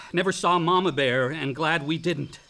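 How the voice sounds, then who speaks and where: An actor playing an outdoorsy, slightly self-doubting grown son with a casual, effusive manner in a naturalistic radio drama. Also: male voice, a little high, unhurried, pushed and bright. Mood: exhilarated. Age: young adult.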